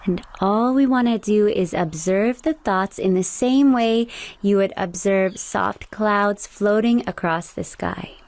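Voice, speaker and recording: Young woman talking you thru a meditation, calm and slow, medium pitch. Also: no echo. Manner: slow, soothing and instructive. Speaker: meditation guide. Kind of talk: guided meditation.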